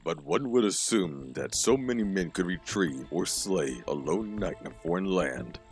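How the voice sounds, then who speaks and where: A man playing a stern, commanding dark general in a fantasy audio drama, formal and coldly controlled. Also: deep voice, animated, moderate pace, soft background music.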